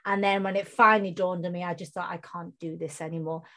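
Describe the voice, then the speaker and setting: A woman in her forties from the UK with a British accent, a podcast guest speaking casually and earnestly, slowing down to stress her points. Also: fairly low pitch, fairly even intonation, sharp tone. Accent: British English. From United Kingdom. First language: Bengali. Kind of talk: casual interview conversation.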